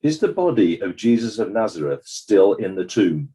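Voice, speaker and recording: A man's voice: lowish voice, big pitch swings, normal speed, warm tone, breathy edge. A man playing a formal, authoritative examiner who questions witnesses at a dramatized inquest. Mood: probing.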